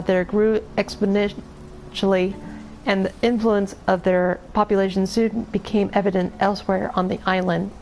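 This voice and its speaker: A woman streaming a video game, reading in-game lore aloud in a halting way with many restarts, her voice going hoarse. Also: mid-range voice, a little mellow, a little hiss or hum, poor audio. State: losing her voice. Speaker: gameplay streamer.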